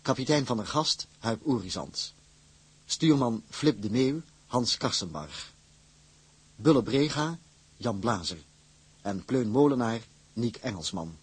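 Very husky male radio announcer. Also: mid-range voice, very animated, unhurried, long pauses, dry recording. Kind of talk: formal scripted credits reading.

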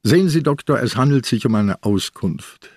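An actor playing an old, ailing, shrewd police detective in a radio play, speaking in a deliberate, wry way. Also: male voice, medium pitch, lively intonation, dry recording, clean studio sound. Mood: wry, confiding. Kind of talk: radio drama acting.

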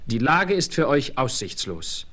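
A man's voice: mid-range voice, sing-song, unhurried, fairly bright tone. A man playing a noble, commanding Apache chief who speaks formally and declaims in a German radio play. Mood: stern.

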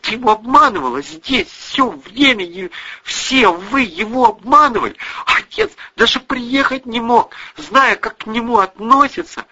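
A man's voice: extremely high pitch, animated, moderate pace, hardly any pauses, sharp tone, highs cut off, pitched above the performer's own voice, full character voice. A man voicing a hurt, defiant teenage daughter in an emotional, accusing manner for an audiobook story.

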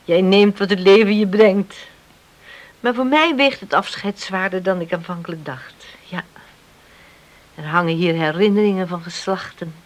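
A woman's voice: medium pitch, moderate pace, close to an everyday voice. A woman acting a devout, sentimental farm mother in a Dutch radio drama, on a hissy old recording. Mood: wistful.

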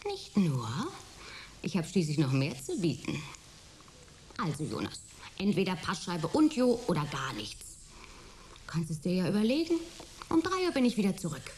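Spirited young woman, very lively intonation, teasing, gushing, headstrong, radio drama. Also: fairly low pitch, normal speed, long pauses, a little hiss or hum. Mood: insistent, playful. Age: young adult. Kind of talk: German sci-fi radio drama acting.

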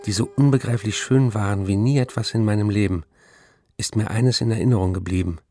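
An actor playing a love-struck, tormented young writer in a radio drama, reading intimate letters in a fervent, confessional way. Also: male voice, lowish voice, fairly quick, close to an everyday voice. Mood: adoring, pleading. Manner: confessional, repetitive, fervent address.

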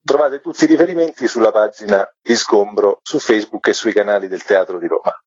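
Husky low-voiced man in roomy, dull audio. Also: fairly quick, darkish tone. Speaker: guest, theatre artist. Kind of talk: radio interview answers. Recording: dull, roomy phone-in sound.

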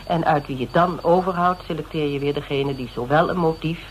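An actor playing a persistent, polite private detective in a Dutch radio drama, speaking in a measured, explanatory, quietly probing way. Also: male voice, on the high side, close to an everyday voice. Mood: measured, insistent. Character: persistent male private detective questioning a suspect, methodical and polite. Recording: noisy old radio drama recording.

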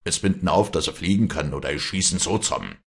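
A man's voice: low voice, expressive, fast talker. A man playing a brash, stubborn, commanding hunter with a Bavarian-Austrian Alpine accent, curt and challenging, in an audiobook. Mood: bitter threat. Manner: curt, challenging, gruff dialect. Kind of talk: dramatic audiobook character dialogue.

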